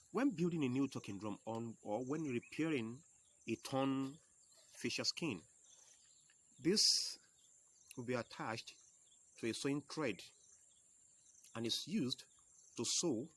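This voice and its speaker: A man with a Yoruba accent teaching a home video lesson, going slowly and repeating each key term step by step. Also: medium pitch, fairly bright tone, slightly rough. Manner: slow, repeats key terms, explains step by step. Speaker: percussion teacher.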